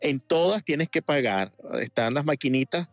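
Hombre de voz aguda llamando desde casa. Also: male voice, fairly high pitch, animated, moderate pace, no room sound. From Venezuela. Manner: rambling, many fillers and restarts. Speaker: caller. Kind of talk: casual call-in chat. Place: home in Miami Beach.

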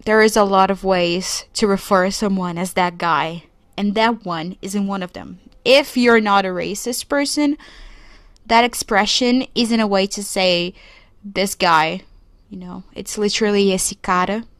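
A young woman from Brazil speaks English, hosting a podcast alone in an earnest, reflective way. She talks at an unhurried pace with pauses as she searches for words. The recording is dry and close, with no room sound. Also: medium pitch, clear, resonant tone, no room sound. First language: Portuguese. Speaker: podcast co-host. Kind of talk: solo podcast commentary.

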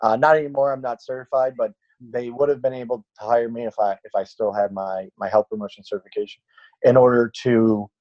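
A man's voice: mid-range voice, steady tone, a little fast, highs cut off. A man in his late twenties, a fitness trainer, chatting casually on a podcast and rambling with many fillers and restarts. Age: late 20s.

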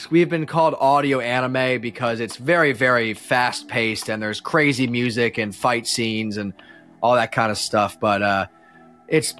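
A man speaks as a guest on a casual podcast at an average pitch. He rambles and halts, often starting his sentences over. Music plays in the background. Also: fairly bright tone. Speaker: podcast guest, audio drama creator and musician.

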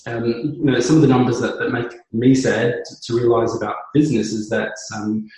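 A man's voice: medium pitch, fairly even intonation, a little fast, dark tone, heavy reverb, rough recording. A man in his thirties from New Zealand, a business co-founder talking earnestly as an interview guest, with many fillers and restarts. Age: 30s. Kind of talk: conversational podcast interview.

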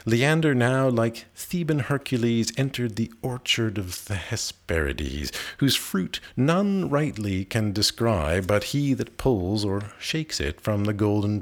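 Male poetry reader, low register, slow measured delivery, literary and dramatic. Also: a bit bright. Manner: measured, dramatic phrasing with pauses. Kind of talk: expressive literary recitation of narrative verse.

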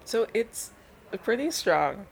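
A woman's voice: on the high side, sing-song, slow pace, creaky, slight background noise. A young woman from Canada, explaining her research in an informal, thoughtful interview style. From Canada, born in Belgium to Nigerian parents. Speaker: interviewed thesis student.

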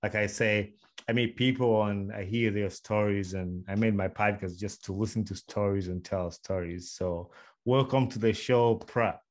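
A man's voice: fairly low pitch, fairly even intonation, normal speed. A man from Uganda hosting a casual podcast, eagerly welcoming his guest and often repeating himself. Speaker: podcast host.

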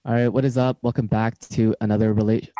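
A man's voice: on the low side, flat delivery, fast talker, warm tone, low-quality recording. A man hosting a podcast, introducing his guest and chatting loosely with restarts. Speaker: podcast host. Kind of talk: podcast interview.

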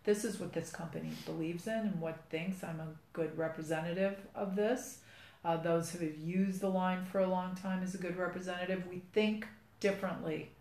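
A middle-aged woman hosting a podcast about her skin care company, speaking in an earnest, persuasive, rambling way with a low voice. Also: fairly even intonation, moderate pace, warm tone. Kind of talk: casual podcast chat. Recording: echoey room.

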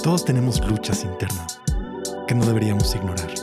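A man's voice: on the low side, a little fast. A man narrating a scripted, inspirational podcast intro in an inviting tone. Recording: voice set back over loud hip hop music.

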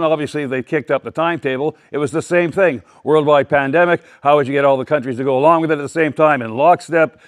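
Loud guy giving a town hall speech, kinda high voice, keeps restarting his sentences. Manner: loud, emphatic delivery; rambling, with frequent restarts. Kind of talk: public speech to a live audience. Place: public meeting with a live audience.

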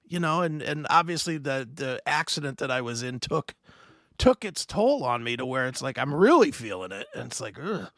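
A man in his fifties hosting a casual podcast chat, rambling and animated with many restarts. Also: fairly high pitch, dry recording, studio quality. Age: 50s. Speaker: host.

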